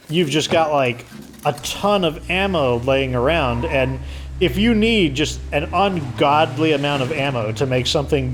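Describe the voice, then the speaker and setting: Hoarse man rambling through game commentary. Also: medium pitch, slight background noise. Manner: rambling, filler-heavy explaining. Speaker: let's play host. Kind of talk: casual gameplay commentary.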